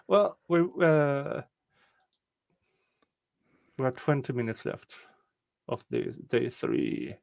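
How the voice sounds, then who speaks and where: Slow, gravelly man with a Swedish accent. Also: mid-range voice, huge pitch swings, a little mellow, dry recording. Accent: rural Swedish. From Sweden. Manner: slow, hesitant, dry remarks. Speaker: co-host reacting to trial footage. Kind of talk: casual commentary chat.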